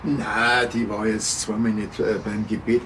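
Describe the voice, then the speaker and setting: Deadpan Bavarian policeman. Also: fairly low pitch, a little slow, a little hiss or hum. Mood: dry, deadpan. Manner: deadpan, colloquial. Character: dry, unbothered small-town Bavarian policeman. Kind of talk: TV crime comedy acting.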